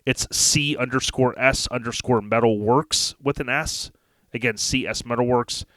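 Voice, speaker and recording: A man's voice: medium pitch, moderate pace, a bit bright, dry recording. A man hosting a podcast in a casual, folksy, slangy way, mixing chat with sponsor reads. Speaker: podcast host.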